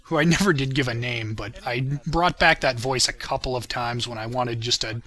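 Male commentator, mid pitch with a bright edge, casual and self-deprecating. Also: moderate pace. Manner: self-deprecating, chuckling, swearing. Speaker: creator commenting on own parody series.

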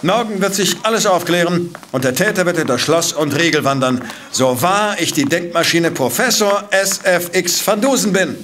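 An actor playing an arrogant, brilliant professor detective in an audio drama, speaking in a pompous, precise, lecturing way. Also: male voice, high voice, moderate pace, a bit bright, a little hiss or hum. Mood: self-assured. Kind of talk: German audio drama acting.

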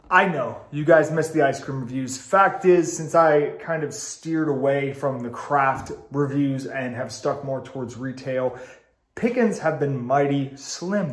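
A man in his thirties, congested, giving a chatty, enthusiastic video review to the camera in a small room at home. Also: a little high. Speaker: ice cream reviewer. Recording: roomy home recording.

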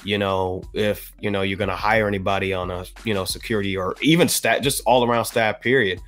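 An American man giving his opinion on a podcast panel in a measured, halting way with formal wording. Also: on the low side, fairly even intonation, fairly bright tone. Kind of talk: panel discussion, offering opinion. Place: indoor room on a video call.

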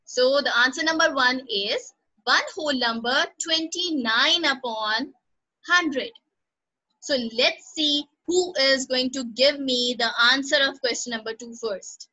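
A high, bright-voiced woman teaches an online class. She praises students by name and repeats each instruction patiently. Her voice comes through a computer microphone on a call. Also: unhurried, poor audio. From Pakistan.

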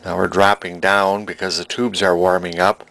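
A man informally narrating a repair demonstration, halting and thinking aloud as he goes. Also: low-pitched, flat delivery, vocal fry, fairly bright tone, rough recording. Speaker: hobbyist electronics restorer. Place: cluttered indoor workshop.

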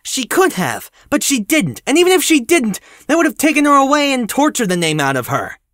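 A woman's voice: sits high, wildly swooping pitch, brisk, a bit bright, no echo, studio quality, heavily acted. A woman playing an earnest, protective young hero in an audio drama, speaking insistently.

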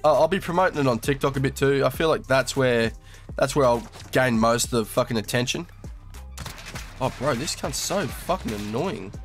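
A man's voice: medium pitch, moderate pace, soft background music. A young Australian man with a slightly nasal voice from a cold, casually commentating over his gameplay in a crude, laid-back, swearing manner. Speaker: gaming YouTuber.

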